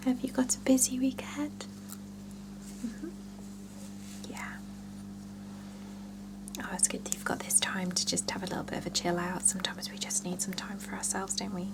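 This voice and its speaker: A woman softly whispering in a gentle, reassuring ASMR salon roleplay, with a breathy voice. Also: mid-range voice, moderate pace, pauses often, rough recording. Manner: gentle, soothing, reassuring client-care chat. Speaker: ASMR performer playing a salon hair and scalp therapist.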